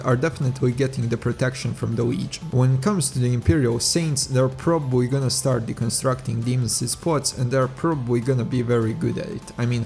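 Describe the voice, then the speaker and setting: A man's voice: mid-range voice, a little mellow. A man presenting casual scripted commentary in an informal, slangy, matter-of-fact way. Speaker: video essay host. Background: music.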